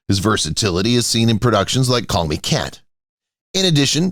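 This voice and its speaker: Lively scripted male voice-over. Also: mid-range voice, no echo, clean studio sound. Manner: announces each name as a raised heading. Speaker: narrator. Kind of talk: scripted celebrity narration.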